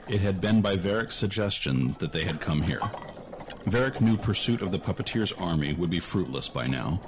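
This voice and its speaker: A man's voice: low-pitched, noisy background. A man giving scripted narration for a fantasy audio drama.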